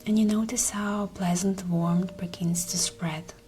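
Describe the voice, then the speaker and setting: A woman narrating a guided meditation in a soft, breathy, often near-whispered voice, soothing and gentle. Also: mid-range voice, steady tone, a little slow. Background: meditation music.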